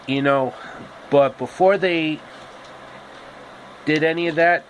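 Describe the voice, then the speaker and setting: A man telling a story informally, with halting, deliberate phrasing and casual asides. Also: on the high side, a little hiss or hum, poor audio. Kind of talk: informal, improvised storytelling with pop-culture asides.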